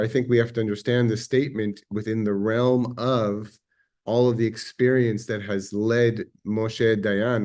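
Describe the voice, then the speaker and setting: A man with an Israeli accent giving a measured, reflective history lecture, pausing now and then with fillers. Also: fairly low pitch, darkish tone. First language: Hebrew.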